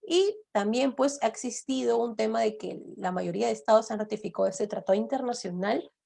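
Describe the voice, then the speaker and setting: A woman from Peru speaks with a Peruvian accent as a guest lecturer. Her voice is fairly high and carries a gravelly fry. She explains patiently and keeps reminding listeners of the points she has made. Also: a little fast, mellow. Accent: Peruvian Spanish. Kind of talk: online lecture answering questions.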